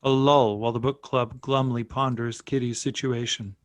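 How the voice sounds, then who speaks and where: A man's voice: medium pitch, little pitch movement, moderate pace, no room sound. Man narrating screenplay stage directions, dry close sound. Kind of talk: scripted narration.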